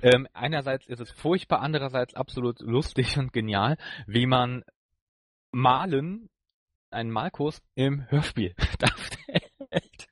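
A man co-hosting a podcast in casual conversation, recounting things in a hesitant, halting way. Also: medium pitch, lively intonation, no room sound, studio quality. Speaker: podcast co-host. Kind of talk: casual chat reviewing an audio drama.

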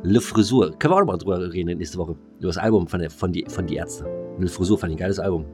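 A man's voice: deep voice, very fast, music in the background. A man co-hosting a podcast, chatting casually with slangy, mock-scolding banter. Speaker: podcast co-host. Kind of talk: casual chat between two friends.

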